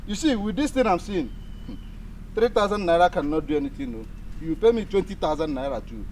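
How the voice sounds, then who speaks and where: High-pitched, exasperated Nigerian dance teacher. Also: male voice, lively intonation, brisk, darkish tone, slight background noise. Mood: exasperated scolding. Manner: exasperated, scolding, repetitive rhetorical questions. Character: impatient, strict male dance teacher, quick to scold. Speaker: actor. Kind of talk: Nigerian comedy drama acting.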